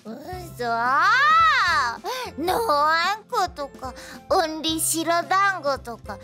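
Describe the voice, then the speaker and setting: 関西弁で話す、甘いもの好きで元気いっぱいの小さな女の子を、女性声優がゲームのラジオドラマで演じている。. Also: female voice, sits high, expressive, sharp tone, soft background music, full character voice. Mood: dejected. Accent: Kansai. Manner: exclamatory, dramatic, drawn-out reactions. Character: excitable, sweet-toothed little girl with playful temper. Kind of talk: animated game radio drama acting.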